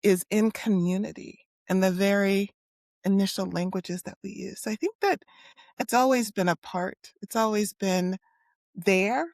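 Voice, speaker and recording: A middle-aged woman who grew up in the US with Haitian Creole as her first language speaks slowly and thoughtfully, pausing on soft fillers. Her voice sits in an ordinary middle register. The sound is dry and close, with no room echo. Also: medium pitch, creaky. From United States (New York and Miami). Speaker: guest, clinical and community psychologist. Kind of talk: reflective conversational interview.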